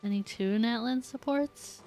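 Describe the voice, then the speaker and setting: A woman's voice: a little high, measured pace, darkish tone. A woman giving casual live gameplay commentary, giggly and thinking aloud as she plays. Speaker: video game streamer. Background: game music.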